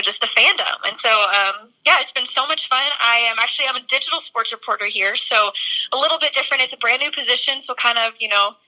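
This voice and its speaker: A young woman from Texas talks as a guest on a podcast, upbeat and quick to laugh. Her voice is very bright and a little high, and it comes through a phone-line sound. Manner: upbeat, laughs easily, many fillers. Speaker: sports reporter guest. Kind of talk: casual interview chat.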